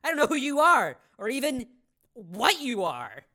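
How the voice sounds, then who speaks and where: Woman as a frantic estranged mother, high, bright, halting and tearful. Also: wildly swooping pitch, exaggerated voice. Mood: distraught. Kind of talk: dramatized audiobook acting.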